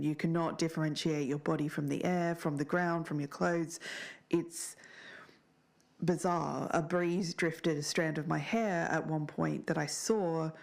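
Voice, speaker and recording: A woman playing an earnest, shaken survivor of a strange town, speaking haltingly in a naturalistic audio drama interview. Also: sits low, gravelly, darkish tone, heavily acted. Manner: halting, searching for words.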